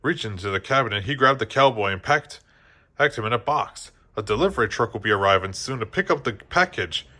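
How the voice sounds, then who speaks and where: A man's voice: fairly low pitch, lively intonation, brisk, pushed and bright. A man reading a children's story aloud as scripted narration, now and then stumbling over a word. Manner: occasionally stumbles over words and rereads. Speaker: storybook reader.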